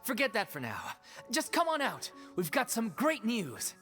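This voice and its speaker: A woman's voice: fairly high pitch, wildly swooping pitch, normal speed, a bit bright, faint music behind. An actress voicing an upbeat young woman in a video game, friendly and coaxing.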